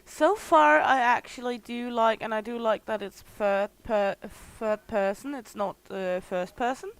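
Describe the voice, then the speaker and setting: A woman with a slightly hoarse voice and a Danish mother tongue, casually commenting as she plays a game on a livestream and greeting her viewers by name. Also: a little high, low-quality recording. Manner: unhurried, chatty, greets viewers warmly by name. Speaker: gaming streamer.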